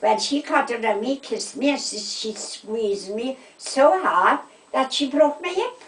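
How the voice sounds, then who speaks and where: A woman's voice: on the high side, lively intonation, unhurried. A woman in her eighties with a South Wales Valleys accent, chatting and telling anecdotes emphatically, acting out what people said. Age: 80s. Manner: chatty, emphatic, repeats reported conversations with "I said". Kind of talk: casual chat, anecdotal storytelling. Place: indoors at a home. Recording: roomy, distant home recording.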